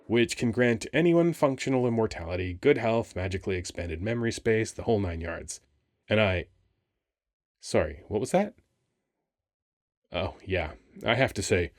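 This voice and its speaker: A dry, close recording of a man playing a sphinx guarding an ancient temple in an ASMR roleplay. His voice sits low, and he rambles in a casual, halting way. He comes across as wry, weary and flirtatious. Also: lively intonation, no echo, natural voice. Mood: wry, bored.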